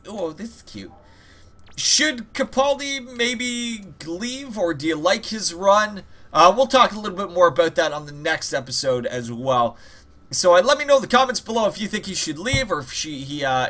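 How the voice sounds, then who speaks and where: A man's voice: sits high, bright, forceful tone. A man giving casual, jokey let's-play commentary as host, rambling and swearing freely. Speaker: host of a gaming channel.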